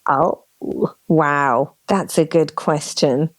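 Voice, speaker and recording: A woman's voice: deep voice, steady tone, very slow, no echo. A woman in her forties from Chile with a Chilean accent, a podcast guest telling her life story in an earnest, reflective and frank way. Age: 40s. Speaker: guest, spiritual consultant. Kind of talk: personal storytelling in conversation.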